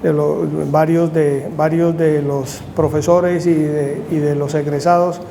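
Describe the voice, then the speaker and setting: An older man with a Colombian accent giving an academic lecture in measured, halting short phrases with restarts. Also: fairly high pitch, little pitch movement, dark tone. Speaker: university lecturer, agronomist. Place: university seminar room. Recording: faint background noise.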